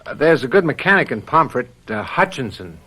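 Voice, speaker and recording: An actor playing a brisk, businesslike country game warden in an old-time radio drama, plain-spoken and matter-of-fact. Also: male voice, medium pitch, very animated, sharp tone, breathy edge, exaggerated voice. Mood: businesslike, impatient.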